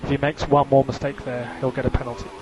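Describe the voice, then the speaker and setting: A man's voice: medium pitch, level tone, fast talker. A man working as a race engineer, giving clipped, businesslike instructions over team radio and repeating key calls. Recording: very noisy radio link, engine noise. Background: vehicle noise.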